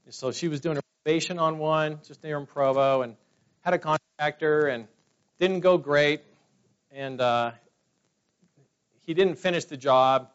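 A man in his forties from California, telling personal stories to a meeting in a rambling, chatty way full of fillers. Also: fairly high pitch. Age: 40s. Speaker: speaker at a business meeting. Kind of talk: casual personal testimony to a room. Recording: dull lo-fi sound.

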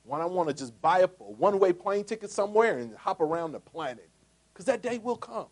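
A man in his forties giving a motivational sales talk to a live audience. He is jokey and asks the crowd rhetorical questions, swinging between loud punchy lines and quiet asides. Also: sits high, very animated, fairly quick. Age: 40s. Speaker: speaker pitching a business opportunity.

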